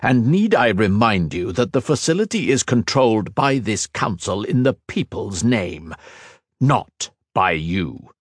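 A man voicing a stern male council leader with a deep baritone, speaking in a firm, cutting tone. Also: very animated, unhurried, slightly rough, dry recording, studio quality, full character voice.

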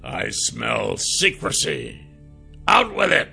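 A man voicing a gruff male dwarf fighter who huffs and complains. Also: medium pitch, big pitch swings, takes it slowly, pushed and bright, faint music behind, heavily acted. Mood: suspicious. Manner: huffing, complaining.

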